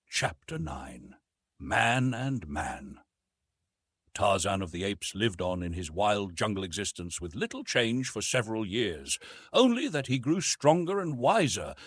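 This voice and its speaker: Husky, animated man narrating an adventure story. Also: mid-range voice, no echo. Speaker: audiobook narrator.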